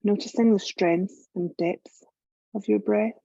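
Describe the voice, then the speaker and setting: A woman leading a guided meditation as a yoga teacher, calm and measured, giving gentle instructions with long pauses. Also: mid-range voice, gravelly, no echo, dull audio.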